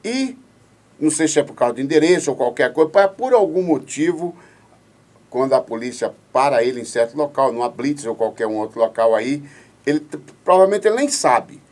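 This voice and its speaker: A man's voice: medium pitch, expressive, fairly quick, a little mellow. A man hosting a radio show, reading listener questions and politely laying out hypothetical cases. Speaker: radio host.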